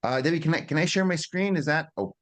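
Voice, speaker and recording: A man's voice: a little high, fast talker. A middle-aged man giving an informal webinar presentation on a video call, explaining in a conversational teaching manner, repeating words and checking in with listeners. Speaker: guest presenter at a business webinar.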